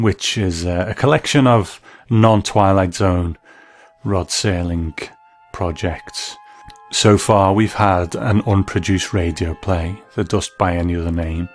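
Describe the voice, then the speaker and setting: Male host, low register, scripted documentary narration, faint music bed. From Britain. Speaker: podcast host.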